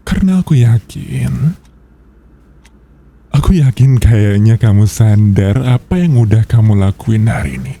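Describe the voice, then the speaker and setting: A very dark, mellow male voice with an Indonesian accent, unhurried and husky. A man acts out a jealous, controlling boss in an intimate ASMR boyfriend roleplay. He sounds soft and menacingly sweet, teasing as he lays claim to his lover. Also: on the low side, expressive. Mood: jealous, possessive. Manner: slow, teasing, controlling, with drawn-out pauses.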